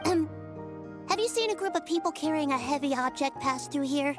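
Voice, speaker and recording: High-pitched earnest investigator. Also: female voice, lively intonation, brisk, music in the background. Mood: pondering. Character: earnest, analytical young woman investigator, polite and methodical. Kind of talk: animated video game voice acting.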